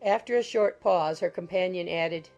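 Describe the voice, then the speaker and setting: A woman's voice: on the low side, normal speed, darkish tone, muffled recording, soft background music. A woman reading scripted literary narration from a classic novel as an audiobook narrator.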